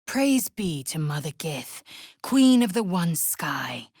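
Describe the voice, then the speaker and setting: An actress voicing a fierce, proud alien warrior woman in a video game, husky and clipped, with a haughty formal delivery. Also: on the low side, big pitch swings, takes it slowly, darkish tone, no room sound, studio quality, heavily acted. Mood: reverent, proud. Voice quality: husky, breathy rasp. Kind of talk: video game character acting.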